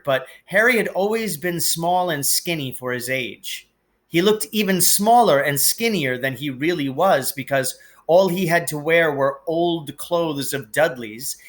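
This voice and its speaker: A man reads a storybook aloud at an unhurried pace. His phrasing is deliberate and emphatic, giving the narration plenty of expression. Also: on the high side, a bit bright. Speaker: teacher reading aloud.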